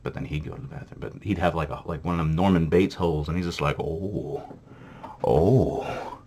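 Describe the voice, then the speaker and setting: A man's voice: low-pitched, steady tone, racing, darkish tone. A man hosting a comedic history vlog, rambling and swearing through a list of presidents with lots of fillers, wired on coffee. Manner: rambling, profane, full of fillers. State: jittery from coffee. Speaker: vlog host. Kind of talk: irreverent comedic history rundown.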